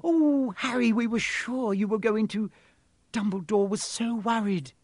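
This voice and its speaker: A man voicing a high, excitable schoolgirl witch. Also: a little slow, dry recording, clean studio sound. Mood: anxious, relieved. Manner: emphatic, earnest, fretful.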